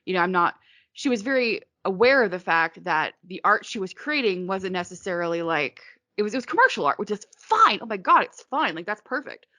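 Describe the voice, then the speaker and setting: A woman's voice: mid-range voice, very animated, fairly quick, sharp tone, no room sound. A woman being interviewed as an author, answering in a rambling casual way full of "like" and restarts, hiccuping now and then.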